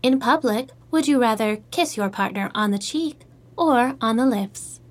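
A woman's voice: on the high side, lively intonation, a little slow. A woman playing a cheerful artificial intelligence matchmaking host in an audio drama, speaking in scripted, upbeat stock phrases. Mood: cheerful, polite.

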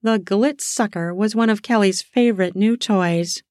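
A woman narrating an erotic audio story in a slow, sensual way, lingering on words for emphasis. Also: medium pitch, a little mellow, dry recording, clean studio sound. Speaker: storyteller, podcast host.

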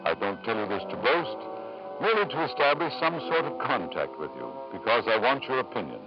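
An actor playing a self-assured, ambitious English businessman and doting father in a 1950s radio drama, measured and persuasive. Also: mid-range voice, sing-song, over music, lightly acted. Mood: grave, reflective. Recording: dull, noisy 1950s radio recording.

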